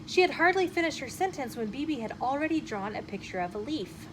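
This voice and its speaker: A woman storyteller reading a children's chapter book aloud in an expressive, emphatic way. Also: high-pitched, fairly quick, low-quality recording. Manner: animated, emphatic phrasing with acted dialogue. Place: inside a bookshop. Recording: noticeable room noise behind the voice.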